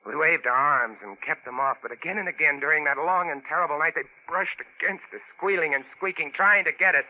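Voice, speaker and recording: A male actor playing a tense stranded adventurer, telling his jungle ordeal in a dramatic, deliberate old-time radio drama style. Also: a little high, natural voice. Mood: grim, haunted. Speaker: actor narrating and playing lead. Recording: tinny vintage radio broadcast with music behind.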